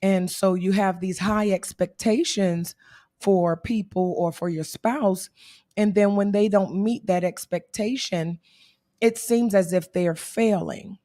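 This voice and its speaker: A woman in her thirties with a hoarse voice, co-hosting a casual livestream talk and laughing and teasing as she goes. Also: mid-range voice, fairly even intonation, slow, warm tone, no echo, clean studio sound. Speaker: co-host.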